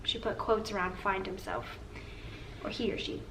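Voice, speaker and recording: A woman's voice: mid-range voice, sing-song, moderate pace, fairly bright tone. A young woman co-hosting a casual Q&A with her boyfriend, chatty and earnest, often saying "like.". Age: young adult. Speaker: co-host. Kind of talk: casual couple Q&A chat. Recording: slightly distant with faint room noise.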